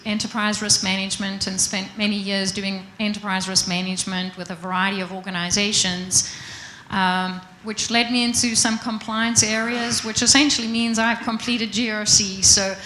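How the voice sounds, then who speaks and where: A South African woman speaking at a conference with a bright, clear tone. She keeps her pitch level and composed as she introduces herself. The room gives her voice a noticeable echo. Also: moderate pace, a little hiss or hum. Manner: steady, composed, lightly hesitant with fillers. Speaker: panelist, risk consultant. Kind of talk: conference panel self-introduction. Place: conference hall.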